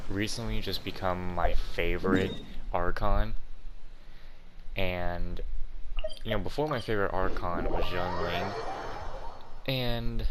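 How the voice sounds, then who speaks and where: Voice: deep voice, heavy background noise. A game streamer giving casual, chatty, informal commentary on a playthrough and reading dialogue aloud.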